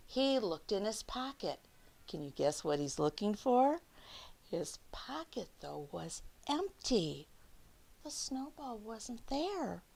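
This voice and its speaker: Slow-paced woman reading to children. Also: on the low side. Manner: expressive, stretches key words, drops into hushed asides; addresses young listeners with questions. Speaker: children's storyteller. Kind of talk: picture-book read-aloud to children.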